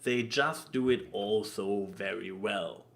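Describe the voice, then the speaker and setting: A man chatting casually as a podcast co-host, halting and stammering as he searches for words. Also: on the low side, creaky, fairly bright tone. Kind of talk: casual podcast chat about anime.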